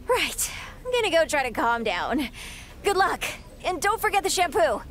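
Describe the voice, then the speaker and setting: A woman voicing an overexcited young female wrestling fan in a video game cutscene, gushing out rapid, breathless questions. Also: high-pitched, very animated, a bit bright. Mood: giddy. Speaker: game character.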